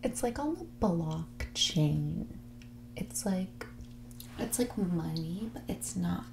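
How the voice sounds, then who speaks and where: A woman playing a snobby, dismissive rich young woman in a whispered and soft-spoken ASMR roleplay, condescending and ditzy, saying "like" often. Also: lowish voice, lively intonation, takes it slowly, background noise, full character voice. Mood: bored, condescending. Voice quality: breathy whisper mixed with creaky soft speech. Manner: ditzy, condescending, hesitant delivery full of "like".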